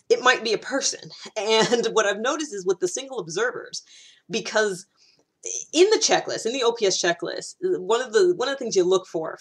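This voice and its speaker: A woman's voice: medium pitch, breathy edge. A woman giving a casual, explanatory monologue as a video host, conversational and often rephrasing or repeating herself.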